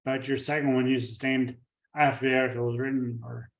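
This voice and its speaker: A man hosting an interview podcast, talking in a halting way with many fillers and restarts. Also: medium pitch, flat delivery, vocal fry. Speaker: podcast host. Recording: echoey room, far from the mic.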